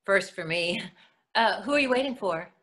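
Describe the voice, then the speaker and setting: A woman's voice: medium pitch, lively intonation, moderate pace, a bit bright, close to an everyday voice. A woman acting an anxious, clingy mother-in-law in a readers theatre play, stammering and pleading as she speaks. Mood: proud, chatty.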